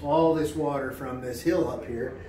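A man's voice: mid-range voice, fairly even intonation, normal speed, mellow, roomy sound. A middle-aged man talks through a problem at a whiteboard in casual, plain-spoken fashion, with plenty of fillers along the way. He is caught by a camera set a few steps back, so his voice sounds distant and bounces around the small room, with a faint hum underneath. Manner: plain-spoken, many fillers and "right?" checks. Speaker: real estate agent. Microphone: camera a few steps away.

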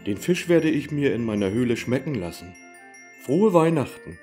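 Grateful grizzly for a children's Christmas play, male actor, mellow, over chiming music. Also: medium pitch, sing-song, normal speed, natural voice. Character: big, grateful, friendly grizzly bear.

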